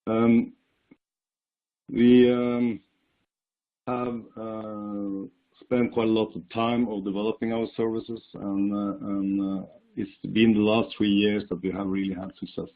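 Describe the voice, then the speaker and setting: Norwegian-accented man, lowish voice, phone-line audio, hesitant business talk. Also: flat delivery, takes it slowly. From Norway. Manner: careful, hesitant, many fillers. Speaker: company representative panelist. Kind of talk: business presentation.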